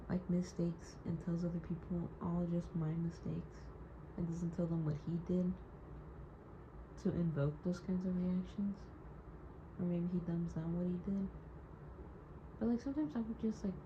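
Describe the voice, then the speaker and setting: A young woman recording a personal audio diary, rambling and hesitant with many "like"s, hurt and wry as she holds back tears. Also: on the low side. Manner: rambling, hesitant, heavy use of "like". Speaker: audio diarist. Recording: dull lo-fi recording with clear background noise.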